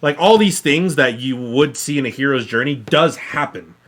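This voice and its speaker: A man co-hosting a podcast, explaining casually in a halting way, often rephrasing himself. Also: mid-range voice, animated, fairly bright tone. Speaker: podcast co-host reviewing films. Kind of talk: casual chat.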